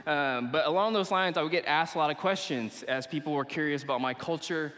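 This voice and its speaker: A man's voice: a little high, brisk, a bit bright. A young man from Malaysia preaching casually to a young adults group at church, in an informal, slangy, conversational way. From Kuala Lumpur, Malaysia. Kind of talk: casual sermon to a live congregation. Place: church meeting hall. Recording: long reverberant hall echo.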